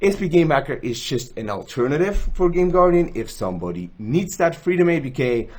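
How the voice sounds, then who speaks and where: A man's voice: fairly high pitch, sing-song, a little fast, darkish tone. A young man from Switzerland with a Swiss accent, hosting a chatty screen-recorded tutorial from a small room, joking at himself as he explains. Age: young adult. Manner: chatty, self-joking, gestures while explaining. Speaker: gaming tools channel host. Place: indoors, small room, gaming chair.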